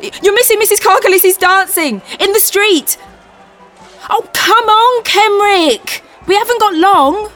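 A woman's voice: extremely high pitch, unhurried, fairly bright tone, soft background music. An actress playing a caring older sister who teases and hurries her younger brother along, in an audio drama. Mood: urging. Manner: coaxing, bossy then tender.

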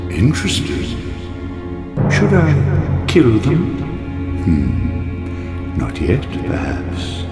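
An actor playing a cold, sinister man who calmly weighs killing newcomers, in a menacing, drawn-out audio drama delivery. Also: mid-range voice, full character voice. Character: sinister cold male watcher weighing whether to kill. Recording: reverberant drama clip over loud music.

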